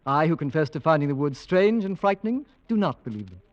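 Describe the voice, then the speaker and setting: A man playing a brisk, skeptical English gentleman with an English accent, clipped and debonair, in an old radio drama. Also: on the high side, very animated, dull audio. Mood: scoffing. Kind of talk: 1940s-50s radio drama acting.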